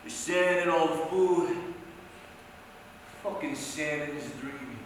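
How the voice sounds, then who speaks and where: Actor playing an anguished young man in an echoing hall. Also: fairly high pitch. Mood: bleak. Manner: emphatic, street-talking, swearing, with dramatic pauses. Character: anguished, foul-mouthed younger man grieving over his soldier brother. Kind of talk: theatrical dramatic monologue. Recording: very echoey hall, distant mic, faint noise.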